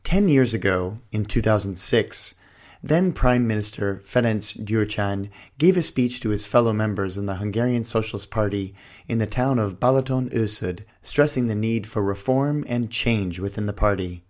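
A man hosting a politics podcast, reading a scripted intro with a hoarse voice, then interviewing a guest. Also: lowish voice, moderate pace, darkish tone. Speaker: podcast host.

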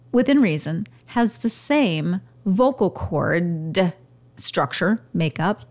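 A woman who hosts a show, speaking as a communication coach with a radio-trained delivery, using deliberate pauses and shifting her volume to demonstrate. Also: medium pitch, a little mellow. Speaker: podcast host and communication coach. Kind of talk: instructional monologue. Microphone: studio microphone.

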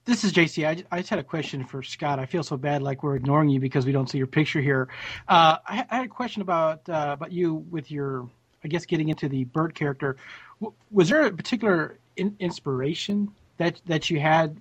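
A man with a husky voice casually asking interview questions, on a dull recording. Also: fairly high pitch, a little fast, no room sound. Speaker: panel questioner. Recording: dull, dry lo-fi call.

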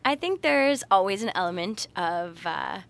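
A woman's voice: medium pitch, lively intonation, moderate pace. Woman chatting as an interview guest. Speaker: podcast guest, researcher and party facilitator. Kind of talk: conversational interview.

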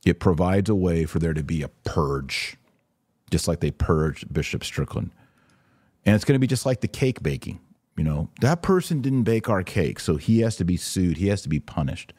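Deep-voiced man giving emphatic live commentary. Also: expressive, moderate pace, a little mellow, husky. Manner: opinionated, emphatic, repeats phrases for emphasis. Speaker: podcast host and religious commentator.

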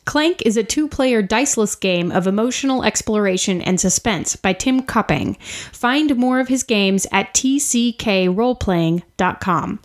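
A woman in her forties, a podcast host playing a tabletop role-playing game, playful and quick to laugh. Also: mid-range voice, expressive.

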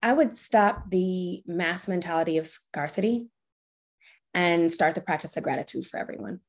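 A woman's voice: fairly low pitch, moderate pace. Encouraging woman, podcast guest. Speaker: podcast guest, corporate strategy director. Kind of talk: casual podcast interview answers.

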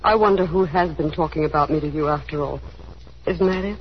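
An actress playing an anxious, proud young woman in despair, pleading and halting in a 1930s radio drama style. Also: low-pitched, lightly acted. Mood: hurt, probing. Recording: scratchy, muffled 1930s radio broadcast.

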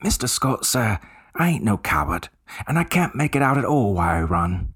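A man voicing a young male Cockney bellboy who speaks bluntly with rough, dropped grammar. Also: lowish voice, very animated, normal speed, fairly bright tone, pitched above the performer's own voice, heavily acted. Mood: rattled.

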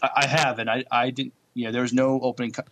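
A man co-hosting a podcast, chatting casually about shows in a hesitant, halting way. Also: medium pitch, level tone, a bit bright. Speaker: podcast co-host. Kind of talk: casual chat reviewing TV shows.

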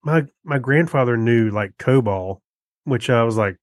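A man's voice: medium pitch, measured pace, no room sound, studio quality. A man co-hosting a podcast, chatting casually in a slightly hesitant, conversational way. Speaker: podcast co-host. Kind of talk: casual chat.

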